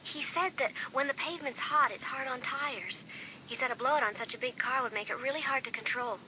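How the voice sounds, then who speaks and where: A woman's voice: high-pitched, brisk, noisy background, close to an everyday voice. An actress playing a worried teenage girl, a friend talking on the phone in a subdued, upset way, in an audiobook drama.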